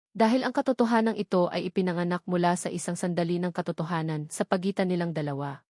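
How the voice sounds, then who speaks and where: A woman's voice: mid-range voice, darkish tone, no room sound, clean studio sound. A woman with a Filipino accent narrating a dramatic scripted story as an audiobook.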